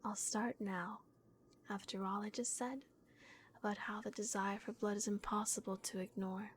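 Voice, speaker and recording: A woman voicing a gentle, remorseful vampire guardian in a breathy, hushed whisper, as an ASMR roleplay. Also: mid-range voice, fairly even intonation, a little hiss or hum, lightly acted. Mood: tender, reassuring. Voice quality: breathy, whispered with creaky edges. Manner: slow, hushed, hesitant pauses. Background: dark castle ambience.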